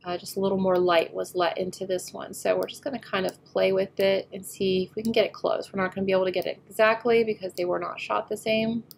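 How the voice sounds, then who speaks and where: A woman getting over a head cold, slightly nasal, talking through a photo editing tutorial as she works and often trailing off quietly. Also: mid-range voice, creaky, slight background noise. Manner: thinks aloud while working, often trailing off quietly. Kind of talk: screen-recorded editing tutorial.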